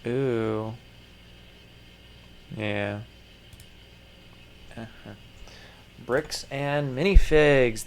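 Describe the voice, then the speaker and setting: A man giving casual, profane running commentary, muttering and then breaking into sudden loud outbursts, his throat strained. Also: a little high. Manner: swears a lot, mutters, jumps to loud outbursts. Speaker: streamer reacting to videos.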